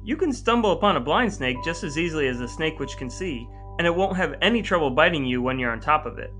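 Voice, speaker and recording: American man, podcast host, highish bright voice, scripted narration, music behind. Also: animated, quick pace.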